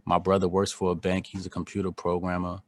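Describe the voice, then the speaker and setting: Deep-voiced man, earnest podcast guest. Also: monotone, fast, no echo, studio quality. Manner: earnest, reflective, speaks from personal experience. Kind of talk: candid conversation.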